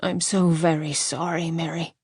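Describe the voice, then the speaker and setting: A woman voicing a gravely ill gentleman who speaks softly and tenderly in a lowered, hushed voice. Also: little pitch movement, moderate pace, no echo, studio quality.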